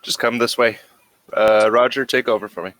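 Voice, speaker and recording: A man playing a plain-spoken, halting military private escorting visitors in a tabletop game. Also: mid-range voice, steady tone, a bit bright, no room sound, natural voice, rough recording. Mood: matter-of-fact.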